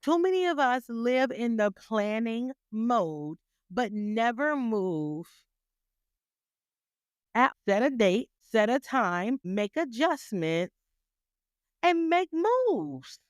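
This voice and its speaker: A woman's voice: fairly high pitch, sing-song, slow pace, smooth, no room sound, studio quality. A woman hosting a podcast, giving a motivational talk full of rhetorical questions and repeated phrases, with a voice she calls graceful yet stern. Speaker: podcast host.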